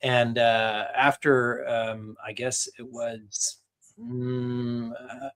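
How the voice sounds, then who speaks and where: A middle-aged man from Canada joins a live video call as a guest, telling his story in a friendly, easygoing way with plenty of fillers. His voice sits at an ordinary middle pitch. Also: little pitch movement, very slow, a bit bright.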